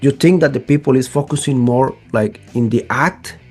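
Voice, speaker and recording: A man's voice: mid-range voice, moderate pace, a little mellow, slightly rough, soft background music. A man interviewing a singer, speaking as a with enthusiastic, eager questions. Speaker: interviewer, show host. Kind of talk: casual interview conversation.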